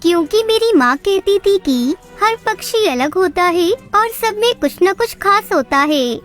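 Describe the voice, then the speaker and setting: A woman plays a kind little girl bird from a children's cartoon, with a very high voice. She speaks in a sweet, earnest, childlike way, with soft music behind her. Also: lively intonation, measured pace, heavily acted. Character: kind, trusting little female bird, often wronged. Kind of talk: children's cartoon story acting.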